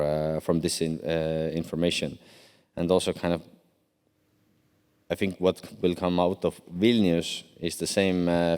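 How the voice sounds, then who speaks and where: Deep smooth voice, estonian guy on a panel, lots of uhs, big echoey hall. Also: darkish tone. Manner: halting, many fillers and restarts. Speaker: deputy cyber commander on a panel. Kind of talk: conference panel answer. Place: large conference hall. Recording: long hall reverb.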